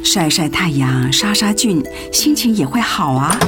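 A woman's voice: lowish voice, expressive, a little slow. An actress playing a doting, fussy older mother and grandmother in a radio drama. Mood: fussing, caring. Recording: music behind the voice.

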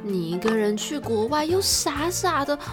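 A woman's voice: high-pitched, big pitch swings, normal speed, over music. A young woman acting an easygoing dormmate who is teasing yet protective, in an audio drama. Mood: teasing concern.

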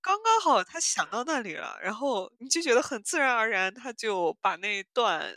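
A woman's voice: fairly high pitch, big pitch swings, normal speed, pushed and bright, no room sound. A woman co-hosting a podcast, talking informally and correcting herself as she explains. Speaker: podcast co-host. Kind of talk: casual chat about audio dramas.

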